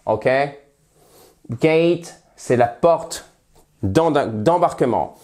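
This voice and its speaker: A man teaching English phrases in French, with a head cold, lively and friendly, slowing down to model the English. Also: fairly high pitch. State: has a cold, slightly nasal voice. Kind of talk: bilingual language lesson at a whiteboard.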